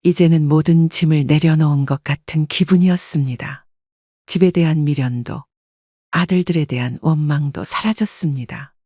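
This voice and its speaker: A woman narrates a first-person story in a calm, even, reflective scripted reading. Her voice has a soft, breathy edge, and the recording is dry and close. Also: deep voice, moderate pace, no room sound.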